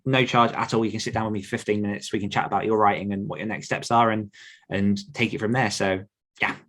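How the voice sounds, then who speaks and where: A man's voice: on the low side, steady tone, fast, fairly bright tone. A man speaking earnestly and conversationally as an author coach being interviewed.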